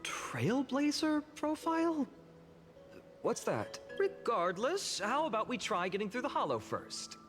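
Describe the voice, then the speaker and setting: An easygoing, nostalgic young hero. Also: male voice, extremely high pitch, wildly swooping pitch, unhurried, a bit bright, in a large hall, soft background music, full character voice. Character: tall, dashing, easygoing young man. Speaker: game character.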